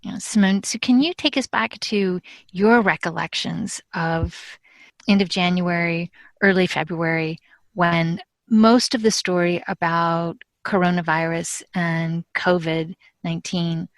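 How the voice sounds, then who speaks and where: Dry-sounding woman interviewer, lowish voice. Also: fairly even intonation, a little slow, no room sound. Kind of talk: podcast interview.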